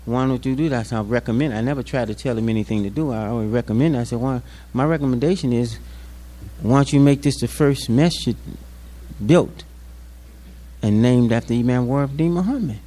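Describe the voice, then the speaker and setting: An older American man delivers an unscripted tribute as an imam. His manner is plainspoken and folksy, moving through personal stories in a mid-pitched voice. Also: a little fast, dark tone. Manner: plainspoken, folksy storytelling with acted-out quotes. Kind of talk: unscripted public speech with personal anecdotes.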